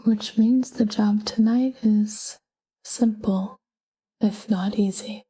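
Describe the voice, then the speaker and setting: A woman guiding a sleep meditation in a soft, breathy whisper, calm and gentle, speaking in short, unhurried phrases. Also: mid-range voice, poor audio.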